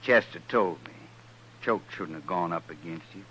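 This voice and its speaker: An actor playing a plain-spoken, chastened cattle drover with a rural western American drawl, folksy and sheepish, in old-time radio style. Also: male voice, deep voice, moderate pace, fairly bright tone. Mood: rueful. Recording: noisy, humming vintage radio recording.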